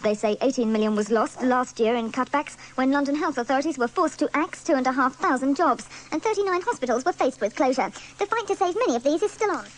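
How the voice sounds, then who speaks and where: A woman's voice: sits high, lively intonation, fast, few pauses, slight background noise, low-quality recording. A woman delivering a scripted news report as a radio reporter.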